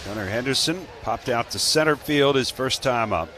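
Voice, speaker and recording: A man's voice: medium pitch, animated, normal speed, background noise. An American man giving live baseball radio commentary and reading promos. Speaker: baseball radio play-by-play announcer and analyst.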